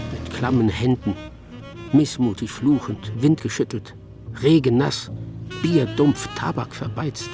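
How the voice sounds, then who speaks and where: An actor narrating a literary radio drama as a grim chronicler of a cold, weary city. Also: male voice, medium pitch. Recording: music behind the voice.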